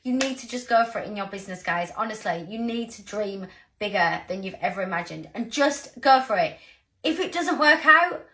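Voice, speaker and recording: A woman in her thirties with a croaky voice, giving an earnest, rambling motivational talk to her team. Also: medium pitch, expressive, normal speed, sharp tone. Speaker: sales team leader.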